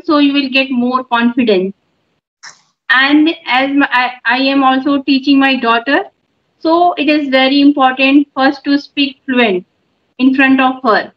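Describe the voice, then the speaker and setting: A middle-aged woman who runs a YouTube channel, practicing English conversation on a video call from home, measured and encouraging with filler pauses. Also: high voice. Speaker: YouTube channel host and mother. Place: room at home. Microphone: phone on a video call. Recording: dull lo-fi call audio.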